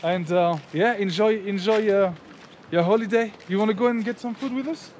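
A man's voice: sits high, normal speed, darkish tone, muffled recording, a little hiss or hum. A man in his thirties hosting a casual travel vlog, chatting with locals and the camera outdoors and in a small restaurant. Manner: friendly, chatty, many fillers and "guys" to camera. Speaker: motovlogger host. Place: outdoors in a mountain village and a small roadside restaurant.

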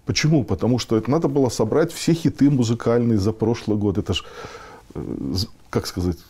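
A man in his sixties giving conversational interview answers, explaining haltingly and posing rhetorical questions. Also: medium pitch, mellow, slightly rough. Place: meeting room, indoors.

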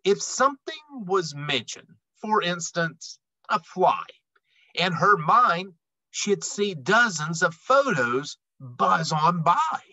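Man, middle-aged, picture book read-aloud, bright forceful voice, unhurried, dramatic pauses, dry close sound. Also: high-pitched, big pitch swings, no echo, rough recording. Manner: emphatic, dramatic phrasing with deliberate pauses.

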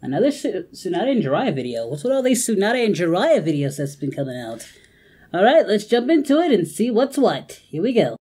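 Woman reacting with very lively pitch. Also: fairly quick, a little hiss or hum. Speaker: host of a reaction channel. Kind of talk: casual reaction commentary.

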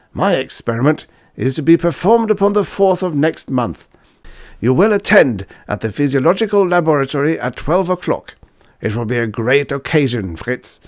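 A man reading a story aloud, voicing an old male professor with a high, excitable delivery. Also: no echo, studio quality, natural voice. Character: old male German professor, eager and fussy.

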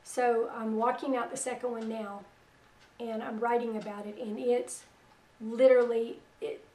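A woman's voice: a little high, level tone, unhurried, warm tone, roomy sound, recorded from a distance, rough recording. Weak-voiced woman on a headset. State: voice weak after a stroke. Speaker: radio host. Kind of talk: religious internet radio talk. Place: small room at home, indoors.

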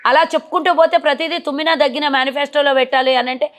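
Fast-talking, forceful politician woman. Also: high voice, fairly even intonation. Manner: forceful, rhetorical questions. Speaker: party politician. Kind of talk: combative interview answers. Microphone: lapel mic.

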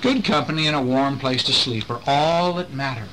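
A middle-aged man reading a picture storybook aloud to a child in an expressive way, with chatty asides and questions. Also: medium pitch, normal speed. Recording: home recording with faint background noise.

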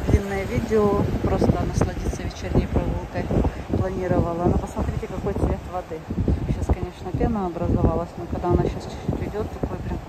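Chatty woman vlogging on a windy shore. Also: medium pitch. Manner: chatty, enthusiastic, exclaiming at the view. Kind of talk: casual walking vlog. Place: windy seaside promenade outdoors. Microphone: phone camera held while walking. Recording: loud wind noise on phone mic. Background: strong wind and crashing waves.

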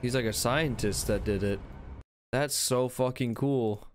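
A young man giving casual, excited reaction commentary as a video host, with slangy, profane exclamations. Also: medium pitch, unhurried, a little hiss or hum. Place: indoor streaming room at home. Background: music and game trailer audio.

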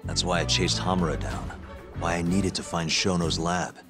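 Very low-voiced, wry investigator. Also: male voice, fairly even intonation, moderate pace. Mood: weary, frank. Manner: casual, wry. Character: wry, dogged male investigator in his thirties. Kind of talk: video game cutscene acting. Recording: music behind the voice.

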